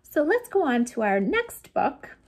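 An American woman in her forties hosting a read-aloud storytime for young children, in an animated, sing-song way with playful sound effects. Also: high voice, a little slow. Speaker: children's librarian, storytime host. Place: small lamp-lit room at home.

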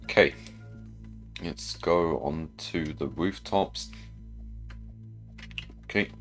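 A man's voice: very deep voice, drawn-out words, faint music behind. A man giving casual gameplay commentary, chatting and thinking aloud as he plays. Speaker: gamer narrating own play.

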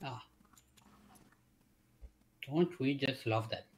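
A man's voice: mid-range voice, drawn-out words, slight background noise. A man in casual game chat, talking in a teasing manner during police roleplay.

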